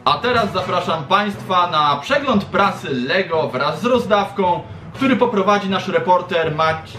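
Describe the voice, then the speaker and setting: A man's voice: high voice, animated, unhurried, bright, forceful tone. A young man presenting a playful, informal toy review show in an enthusiastic, slangy way. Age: young adult. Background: background music.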